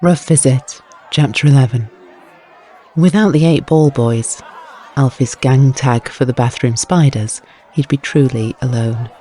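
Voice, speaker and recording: A man's voice: mid-range voice, a little slow, warm tone. A man narrating scripted crime fiction as an audiobook, with wry, literary storytelling.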